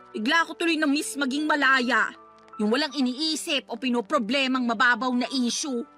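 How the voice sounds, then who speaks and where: Sharp, high, defiant girlfriend. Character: defiant, irritable, self-centred fiancée quarrelling with boyfriend. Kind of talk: Tagalog radio romance drama acting.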